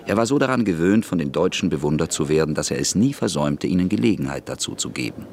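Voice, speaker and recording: Very deep-voiced man narrating a radio drama. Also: animated, fast talker, a little mellow, a little hiss or hum. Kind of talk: scripted literary radio narration.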